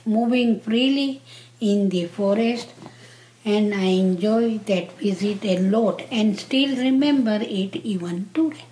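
Slow-speaking grandmother in her seventies. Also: medium pitch, mellow, a little hiss or hum, low-quality recording. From Kenya. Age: 70s. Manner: halting, simple phrasing with pauses. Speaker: interview guest, grandmother. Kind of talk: slow casual interview answers.

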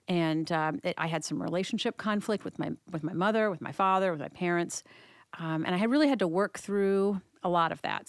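Friendly woman therapist with a smooth voice. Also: on the low side, dry recording, clean studio sound. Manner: friendly, laughs often, thoughtful. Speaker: therapist guest. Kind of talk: conversational interview answers.

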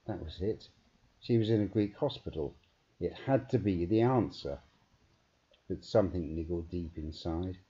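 A man narrates a story in a low, very mellow and husky voice. His delivery is dramatic and deliberate, built for suspense. The sound is muffled. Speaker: author reading own story. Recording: dull, muffled narrow-band recording.

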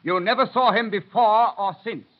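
An actor playing a shrewd defence counsel in an old-time radio drama, cross-examining in a formal, insistent manner. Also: male voice, sits high, moderate pace. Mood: probing, insistent. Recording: dull, lo-fi vintage radio recording.

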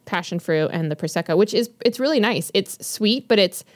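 A woman's voice: mid-range voice, animated, brisk, no room sound. A woman co-hosting a podcast, chatting casually and rambling with many fillers and restarts while recommending books. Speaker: podcast co-host. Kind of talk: casual chat about books.

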